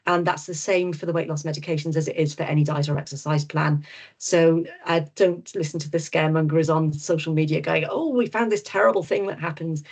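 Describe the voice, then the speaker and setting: Welsh lady doctor, lowish voice, blunt and reassuring, lots of ums. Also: steady tone, a little fast. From Wales. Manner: frank, reassuring, many ums and repeats. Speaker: GP and nutritionist guest speaker. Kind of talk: webinar Q&A answers.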